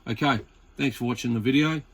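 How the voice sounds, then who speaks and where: A man's voice: mid-range voice, fairly quick, breathy edge. A man with an Australian accent chatting casually and hesitantly as he presents a hobby video, rambling in a laid-back way. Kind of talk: casual chat while demonstrating equipment.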